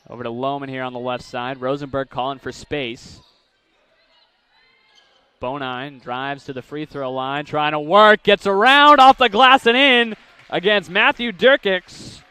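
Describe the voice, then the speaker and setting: A young man calling basketball play-by-play, with rapid calls that climb sharply on big plays. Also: mid-range voice, fairly even intonation, pushed and bright, smooth. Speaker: play-by-play announcer. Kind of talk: live basketball play-by-play commentary.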